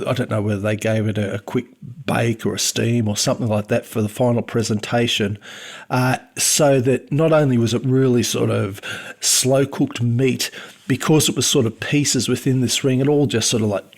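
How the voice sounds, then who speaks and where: Aussie bloke chatting on a podcast, rambles a lot, mid-pitch voice. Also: male voice. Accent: Australian. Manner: rambling and chatty, with many restarts and fillers. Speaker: podcast co-host. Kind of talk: casual podcast chat.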